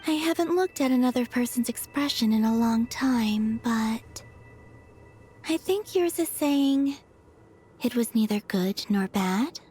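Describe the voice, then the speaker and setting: An actress playing a serene, quietly curious young moon maiden in a video game, with a soft, calm, unhurried delivery. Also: female voice, on the high side, husky. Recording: music behind the voice.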